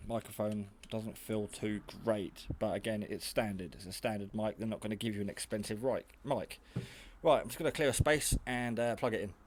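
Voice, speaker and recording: A man's voice: fairly low pitch, a little hiss or hum. A man giving a casual, hands-on product demonstration in a hesitant, rambling, matter-of-fact way. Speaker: hobbyist radio reviewer. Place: garage.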